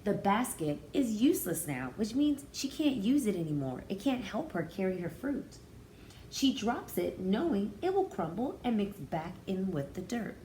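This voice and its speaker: A woman's voice: mid-range voice, very animated, normal speed, dark tone. A woman in her thirties reading a picture book aloud as a teacher, expressively and with explanations for young children. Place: small room indoors. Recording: roomy indoor echo, faint background noise.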